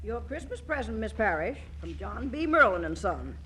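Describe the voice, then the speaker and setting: A woman's voice: a little high, very animated, normal speed. A woman playing a stern, officious messenger in a 1940s radio comedy, formal and reproachful. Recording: very noisy old radio broadcast.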